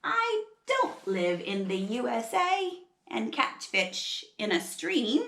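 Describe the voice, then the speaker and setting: Woman voicing an indignant storybook koala, male, attempted Australian accent, grumbling rhymes, creaky edge, echoey room. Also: a little high, very animated, takes it slowly, a bit bright, full character voice. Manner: emphatic, complaining, rhyming. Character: indignant male koala fed up with being called bear. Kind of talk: children's picture book read-aloud.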